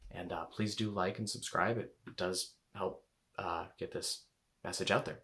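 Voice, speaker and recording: A very low-voiced man talks casually to the camera about eating. He rambles and doubles back on his words, with frequent fillers. The voice sits deep and relaxed. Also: unhurried. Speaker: intuitive eating coach.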